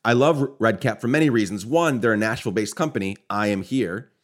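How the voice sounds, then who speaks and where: Man, podcast host, slightly low voice, enthusiastic, effusive, frequent restarts. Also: brisk. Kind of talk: casual podcast interview. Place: Nashville.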